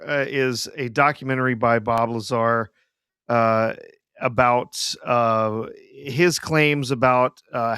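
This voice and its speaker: A man's voice: mid-range voice, a bit bright, clear, resonant tone, no room sound, studio quality. A man co-hosting a casual live stream, explaining with lots of "uh" and chuckling as he talks. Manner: chuckling, filler-heavy explaining. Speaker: co-host.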